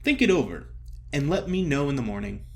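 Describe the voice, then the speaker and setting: A man voicing an effusive, theatrical older stage comedian who cajoles and flatters grandly in an audio story. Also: medium pitch, gravelly. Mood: persuasive. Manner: emphatic, drawn-out address, grand pronouncements.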